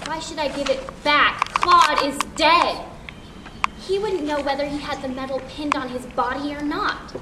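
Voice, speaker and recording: A woman's voice: high-pitched, steady tone, unhurried. A young woman playing a defiant, scheming little girl who wheedles her mother, in a stage monologue. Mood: defiant, sulky. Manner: childish, wheedling. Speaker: student actor. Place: theatre workshop performance space. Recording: echoey room from far off, hissy noise.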